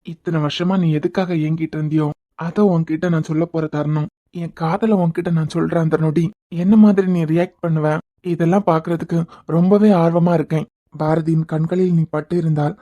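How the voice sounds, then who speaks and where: Guy doing soft-spoken lovestruck young man, mellow dark voice. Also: a little high, highs cut off, close to an everyday voice. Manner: soft, tender and poetic. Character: young man secretly in love, tender. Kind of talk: romantic audio drama acting.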